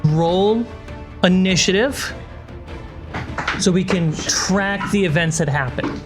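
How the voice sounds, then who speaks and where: A man's voice: high voice, slow pace. A man narrating a tabletop fantasy game as game master. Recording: loud cinematic music underneath.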